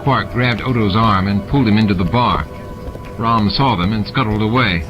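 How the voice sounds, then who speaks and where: A man reads scripted fiction as an audiobook narrator. His voice is on the low side. Prominent music sits behind the reading, in a production dressed up with sound effects. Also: normal speed, dull audio. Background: added music and sound effects.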